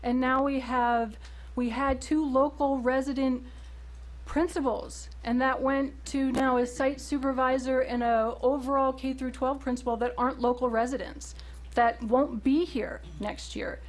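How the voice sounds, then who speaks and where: A woman's voice: high-pitched, fairly even intonation, a little slow, poor audio. A woman speaking as a parent during public comment at a school board meeting, earnest and pointed, pressing for action at a podium microphone.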